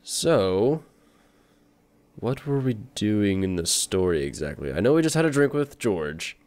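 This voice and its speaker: Let's player, male: lowish voice with animated swings, casual and self-deprecating. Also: unhurried. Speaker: video game let's player. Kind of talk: casual, rambling game commentary.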